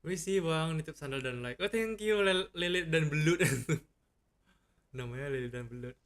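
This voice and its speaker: A man's voice: fairly high pitch, moderate pace, a bit bright. A man with an Indonesian accent giving casual, informal livestream commentary with short reactions as he plays. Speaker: game livestreamer. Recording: game music behind the voice. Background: game music.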